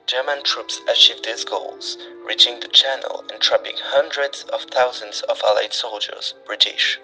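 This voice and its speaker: A man's voice: medium pitch, pushed and bright, low-quality recording. A man delivers scripted documentary narration as a newsreel reader. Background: background music.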